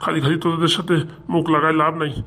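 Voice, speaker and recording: A woman's voice: deep voice, level tone, very fast, fairly bright tone. A woman playing a grasping, pushy male official in a comic cartoon, with a nagging, colloquial way of talking. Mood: pushy, grumbling.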